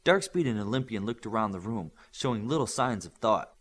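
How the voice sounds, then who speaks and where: A man's voice: on the low side, lively intonation, fairly quick. A man reading a novel aloud as scripted narration, acting out its dialogue. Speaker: audiobook narrator.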